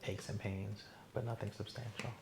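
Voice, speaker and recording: A man's voice: fairly low pitch, little pitch movement, moderate pace, roomy sound, background noise. A man playing the patient in an ASMR medical roleplay, giving brief, laconic, easygoing answers.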